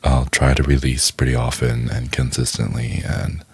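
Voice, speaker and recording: Extremely deep, breathy man signing off casually. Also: level tone, moderate pace, a little mellow, no echo. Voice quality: very low, breathy, gravelly. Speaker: ASMR audio creator. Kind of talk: casual channel sign-off.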